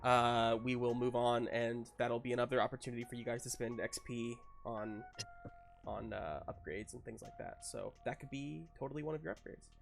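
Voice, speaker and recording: A man running a tabletop game, narrating and chatting off the cuff in a casual, halting way. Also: medium pitch, vocal fry, soft background music. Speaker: game master.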